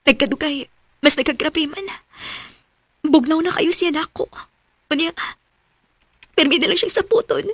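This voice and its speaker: A woman's voice: high voice, normal speed, long pauses, a bit bright, no echo. A woman acting a hurt, lovesick young woman in a radio drama, plaintive and emotional, with a Cebuano Filipino accent. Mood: dejected.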